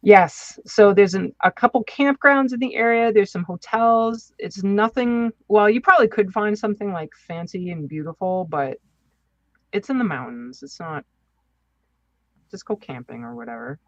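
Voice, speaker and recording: A woman chats as a podcast co-host, informal and enthusiastic. Her voice sits at a medium pitch, in a dry space with no room echo. The audio is a little dull and lo-fi. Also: moderate pace. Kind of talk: casual podcast chat.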